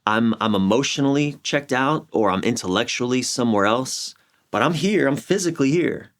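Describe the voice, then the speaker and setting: A middle-aged American man explaining his views in a candid interview, halting and repeating phrases for emphasis. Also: medium pitch, lively intonation. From United States. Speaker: relationship coach, podcast guest. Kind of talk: reflective conversational interview explanation.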